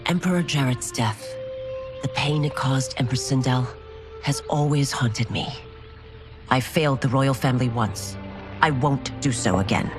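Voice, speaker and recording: An actress playing a proud former royal guard turned constable chief in a game cutscene, speaking formally and earnestly with firm resolve. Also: female voice, very deep voice, a little slow. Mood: troubled, resolute. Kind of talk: dramatic video game cutscene acting. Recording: orchestral game music behind.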